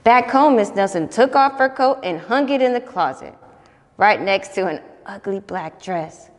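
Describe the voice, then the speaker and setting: Animated young woman reading a storybook. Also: mid-range voice, a little slow, big-room sound. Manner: expressive, animated read-aloud voice. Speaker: volunteer storybook reader. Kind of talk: reading a children's picture book aloud.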